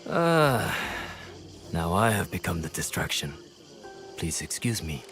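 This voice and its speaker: Low, shrewd, confident strategist. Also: male voice, very animated, over music, full character voice. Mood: polite, aloof. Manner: measured, oratorical, assured. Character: shrewd, philosophical male strategist, confident and composed. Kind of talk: video game cutscene acting.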